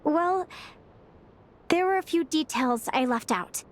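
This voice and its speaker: A woman voicing an earnest young Fae girl in a game cutscene, talking in a soft, reflective, confiding way. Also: sits high, expressive, slow pace.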